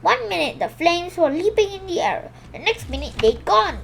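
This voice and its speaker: A woman reads a children's picture book in a high voice. Her delivery swings up and down with lots of expression, punching out sound words and now and then correcting a slip. There is faint noise behind her. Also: normal speed, vocal fry. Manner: animated, exclaims sound words, stumbles and corrects herself. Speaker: storybook reader for a children's video channel.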